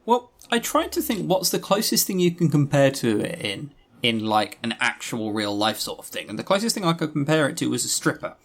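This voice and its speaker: A man's voice: mid-range voice, big pitch swings, brisk, few pauses. A man co-hosting a podcast in casual review chat, with a blunt, dryly joking manner. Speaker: podcast co-host. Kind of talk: casual review chat about visual novels.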